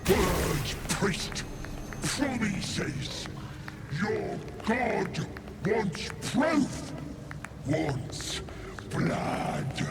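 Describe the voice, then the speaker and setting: An actor playing a boastful, bloodthirsty little fey creature that poses as a god, menacing and grandiose, in a fantasy game. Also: male voice, high voice, big pitch swings, extremely slow, gravelly, pauses often, loud music behind the voice, exaggerated voice. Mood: demanding, menacing. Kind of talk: fantasy game character acting.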